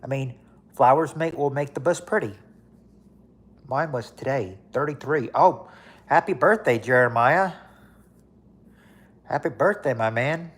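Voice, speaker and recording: A man's voice: medium pitch, unhurried, pauses often, husky. A man in his late thirties chatting casually on a livestream, rambling and repeating himself with lots of fillers. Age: 30s. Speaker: livestream host. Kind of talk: casual chat reading viewer comments.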